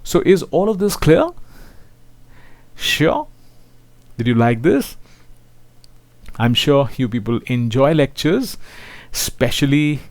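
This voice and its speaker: A man delivers an online maths lecture in a mid-pitched voice with a dry, close sound. He speaks straight to his students as a teacher would, often tagging his sentences with small checks for agreement. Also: very animated, takes it slowly, pauses often, no echo. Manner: seeks agreement with frequent tag questions; addresses listeners as children.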